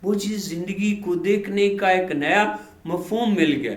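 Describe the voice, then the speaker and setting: A man voicing a humbled king in a storybook moral tale, speaking sincerely and deliberately, as if making a solemn vow. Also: sits high, reverberant, pitched above the performer's own voice, lightly acted. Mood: moved, solemn.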